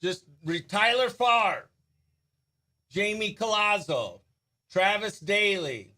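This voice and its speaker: Guy doing radio host chat, pretty high voice, bright, informal and excitable. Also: slow pace. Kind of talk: casual behind-the-scenes chat and upbeat radio interview.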